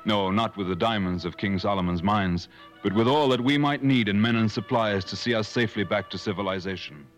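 An actor playing an earnest male adventurer who narrates a safari tale in measured, dramatic 1950s radio style. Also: lowish voice, close to an everyday voice. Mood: grave, suspenseful. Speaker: narrator and character in a radio play. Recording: old radio broadcast with music.

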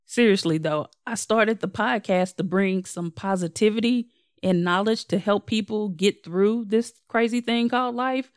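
A young woman, slightly hoarse, hosting her own podcast in a casual, candid and self-deprecating way. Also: medium pitch, normal speed, no room sound, studio quality. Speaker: podcast host. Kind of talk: casual solo podcast update.

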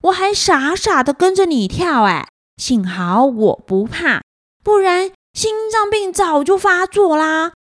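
A woman's voice: very high-pitched, big pitch swings, slow pace, hardly any pauses, dry recording, clean studio sound, heavily acted. A woman voicing a jolly, blunt young dodo bird, exclaiming and joking, in a children's story. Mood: surprised relief.

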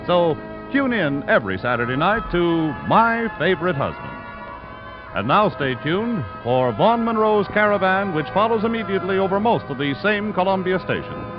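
A man's voice: high voice, big pitch swings, normal speed. An American man announcing in polished, declamatory mid-century radio style, reading scripted credits and promotions. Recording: muffled vintage radio broadcast, music behind.

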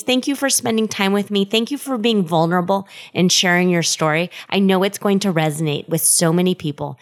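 An American woman hosting a podcast in a conversational, effusive and self-deprecating way. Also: medium pitch. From United States. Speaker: podcast host.

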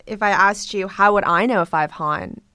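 Soft-spoken woman host, reflective. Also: mid-range voice, fairly quick, clean tone, dry recording, clean studio sound. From Korea. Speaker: podcast host and interviewer. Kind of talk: reflective documentary narration and phone interview.

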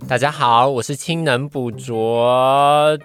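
A man's voice: medium pitch, steady tone, slow, fairly bright tone, faint music behind. A young man with a Taiwanese Mandarin accent, chatting casually as an interview guest in a hesitant, modest way. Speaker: podcast guest, trainee voice actor.

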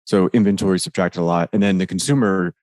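A man giving measured, casual explanations of economic data as co-host. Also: deep voice, darkish tone, no room sound. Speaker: co-host analyst. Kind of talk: economic commentary.

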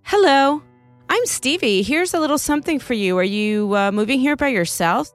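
A woman voicing an eager, chatty and inquisitive woman neighbor who asks quick, bubbly questions. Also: high voice, animated, faint music behind, voice pushed up.

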